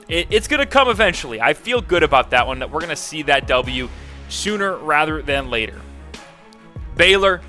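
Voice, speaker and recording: A young man rattles through the week's league scores as host. His voice is bright and sits a touch high, and he sounds hyped and jokey, often restarting mid-phrase. A soft bed of music runs underneath. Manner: energetic, joking, hyped-up with quick restarts. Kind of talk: video game league recap commentary.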